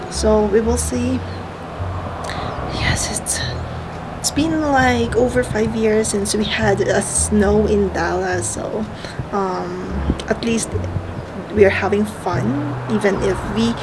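A woman's voice: medium pitch, noisy background. A woman in her thirties chatting to her viewers in a casual travel vlog, talking into a handheld camera. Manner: chatty, addresses viewers as guys. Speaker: travel vlogger. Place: hotel room indoors, then balcony outdoors. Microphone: handheld camera at arm's length.

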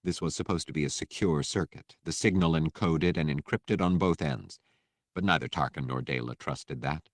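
A man's voice: extremely low pitch, normal speed, no echo, studio quality. A man reading a novel as scripted audiobook narration.

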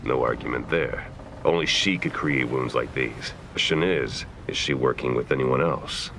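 An actor playing a gruff, businesslike male fighter in a video game. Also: rumbling low, moderate pace, background noise. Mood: grim, wary. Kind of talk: video game cutscene acting. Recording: music under the dialogue.

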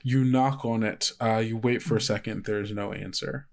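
A man acting as game master, improvising descriptions in a conversational, halting way. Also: lowish voice, steady tone, creaky, darkish tone. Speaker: game master of an actual play podcast. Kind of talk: improvised tabletop game narration.

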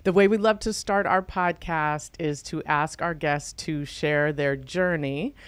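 A woman hosting a podcast interview, reading a welcome and then asking relaxed, open questions over a video call with a studio mic. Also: low voice, a little slow, smooth, no room sound. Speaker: podcast host. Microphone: studio mic with headphones.

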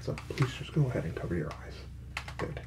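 A man in his thirties speaking softly and soothingly in an ASMR hairdresser roleplay, hushed and reassuring. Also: medium pitch, very animated, a little fast, gravelly, heavy background noise. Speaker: ASMR performer playing a hairdresser. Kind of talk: ASMR roleplay, soft-spoken. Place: small indoor room with salon products.

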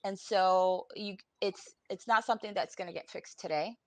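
A woman's voice: medium pitch, steady tone, moderate pace, no echo. A woman in her forties from Puerto Rico, speaking candidly and bluntly on a video-call panel, sounding worn out. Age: 40s. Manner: blunt, informal, salty. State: weary, emotionally exhausted. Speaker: podcast guest. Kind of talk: candid personal panel talk. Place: room at home, on a video call.

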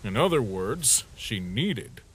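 A man voicing a brash, sarcastic younger skeleton brother with a husky voice in a comic dub, cocky and grumbling. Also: lowish voice, sing-song, takes it slowly, very noisy.

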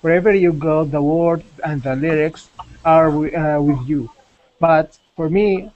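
Halting male student on a video call. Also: on the high side, darkish tone. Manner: halting, searching for words. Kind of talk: self-introduction in class. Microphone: webcam video call. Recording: muffled video-call audio.

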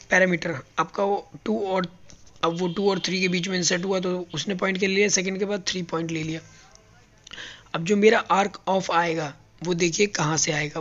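Guy walking you through robot welding settings, high gravelly voice, muffled phone audio. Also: fairly bright tone. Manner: step-by-step explaining while pressing buttons. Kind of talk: hands-on tutorial. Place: factory floor beside a welding robot.

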